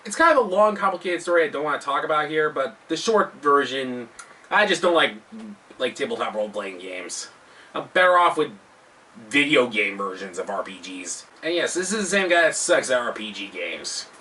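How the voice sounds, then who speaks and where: A man hosting a video countdown, delivering scripted commentary with self-deprecating, jokey asides in an animated manner. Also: medium pitch, normal speed, recorded from a distance. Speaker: host of a video countdown show.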